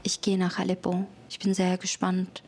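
A woman telling her own story slowly and emotionally, her speech plain and unhurried. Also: on the low side, monotone. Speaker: interviewee. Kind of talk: personal account.

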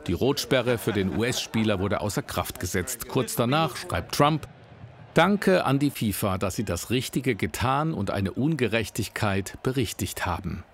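A man of middle pitch reads a scripted news report as a voice-over. His delivery is measured and pointed. Faint ambient noise sits behind him.

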